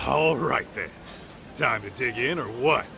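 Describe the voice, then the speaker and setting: An actor playing a cocky, wisecracking detective in a video game, talking in a casual, teasing way. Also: male voice, medium pitch, measured pace, vocal fry, over music. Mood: wry, joking. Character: cocky, brash, joking male detective. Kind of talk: video game cutscene voice acting.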